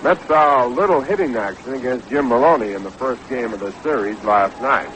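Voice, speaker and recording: An American man calling baseball play-by-play on the radio in a measured, descriptive way. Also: mid-range voice, expressive, fairly bright tone. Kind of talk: live radio sports commentary. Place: ballpark broadcast booth. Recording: noisy 1960s AM radio broadcast.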